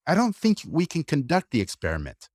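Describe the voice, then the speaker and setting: Male co-host, argumentative and lively, dry close-miked sound. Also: fairly high pitch, dry recording. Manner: animated, argumentative, quick asides with restarts. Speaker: podcast co-host. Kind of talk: casual opinionated discussion.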